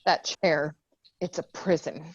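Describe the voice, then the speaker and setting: Woman playing tough sarcastic female marine fighting back, lowish voice, dull dry audio, script read drama. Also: fairly even intonation, a little slow, slightly rough, no echo, full character voice. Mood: anguished determination. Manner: sarcastic, emotional. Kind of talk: screenplay table read acting.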